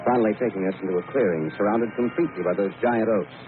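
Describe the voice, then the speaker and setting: A man's voice: lowish voice, fast talker, over music, close to an everyday voice. A man playing an earnest, decisive expedition leader and narrator in an old-time radio adventure drama, with a dramatic, emphatic delivery. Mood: grave narration. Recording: noisy, tinny old radio recording.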